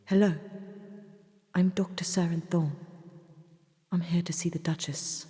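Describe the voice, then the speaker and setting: A woman playing a guarded, caring doctor in an audio drama, breathy and hushed, gentle but firm. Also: fairly low pitch, steady tone, measured pace. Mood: guarded, polite. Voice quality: breathy, husky.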